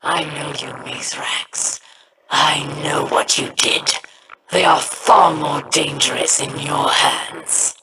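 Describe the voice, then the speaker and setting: A menacing, bitter alien from a video game. The lines come slowly as hissed accusations, under heavy noise. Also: female voice, low-pitched, steady tone. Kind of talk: video game character acting.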